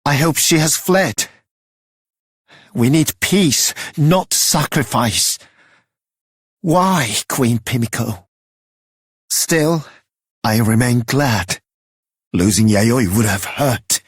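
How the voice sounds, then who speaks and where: A man playing a sorrowful, devoted protector in a video game, husky and tenderly earnest. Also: mid-range voice, lively intonation, extremely slow, long pauses, no echo, studio quality, full character voice. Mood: worried, devoted. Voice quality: husky, breathy. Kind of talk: dramatic video game voice acting.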